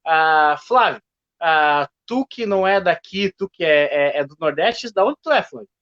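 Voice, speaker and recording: A man hosting a live online discussion, talking in a halting way with many restarts. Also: on the high side, animated, a bit bright, no echo. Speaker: host. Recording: dull lo-fi stream audio.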